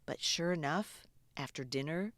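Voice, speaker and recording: A woman reading a storybook aloud to children in an expressive, animated way with dramatic pauses. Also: deep voice, dry recording.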